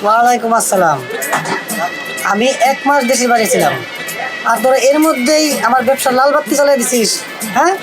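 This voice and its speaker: A woman's voice: on the high side, a little fast, pauses often, close to an everyday voice. A woman playing a stern, fed-up shop owner in a comic drama, commanding and scolding with a Bangladeshi accent. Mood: angry. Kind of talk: comic short-drama acting. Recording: loud music and crowd noise behind.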